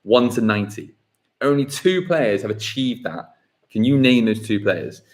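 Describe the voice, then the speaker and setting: A man hosts a live football quiz, his pitch swinging up and down. He reads each question slowly and then repeats it. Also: expressive. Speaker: quiz host.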